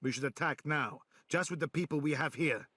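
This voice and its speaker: A man's voice: on the high side, fairly quick, bright, forceful tone, dry recording. An actor playing a curt, commanding male resistance leader in a game cutscene, sarcastic under pressure.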